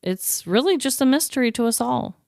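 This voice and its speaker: An American woman co-hosting a podcast, telling stories in a casual, comedic, giggly and expressive way. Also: fairly high pitch, a little fast, vocal fry, dry recording, clean studio sound. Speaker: podcast co-host. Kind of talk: casual comedic storytelling and chat.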